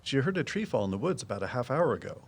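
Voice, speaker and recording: Casting: knowledgeable male woodsman, guarded and folksy, mid-pitched, very varied intonation, audio drama. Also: big pitch swings, fast, husky. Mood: matter-of-fact. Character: Walt, guarded, knowledgeable woodsman and conservation volunteer. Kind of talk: full-cast audio drama dialogue.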